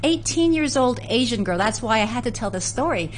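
A woman's voice: on the high side, expressive, fairly quick, slight background noise. A woman from San Francisco, a guest in an interview, telling family history in an earnest, storytelling way with frequent restarts. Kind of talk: conversational interview.

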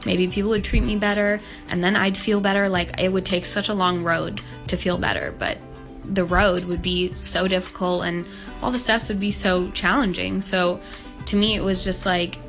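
A young woman from Hong Kong speaks earnestly and openly as an interview guest, her voice low for a woman and gravelly with fry. She leans on "like" a lot as she talks. Music plays behind her. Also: little pitch movement, moderate pace, a bit bright. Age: young adult. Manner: earnest, open, many "like" fillers. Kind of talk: casual interview talk.

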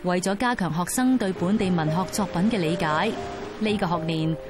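A woman's voice: lowish voice, moderate pace, over music. A woman with a Hong Kong Cantonese accent, reading scripted documentary narration.

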